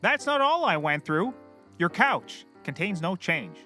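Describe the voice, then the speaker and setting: A man playing a petty, excitable, bombastic private investigator with a bickering, theatrical delivery in a comedy. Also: high voice, a little slow, faint music behind, full character voice. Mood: scornful.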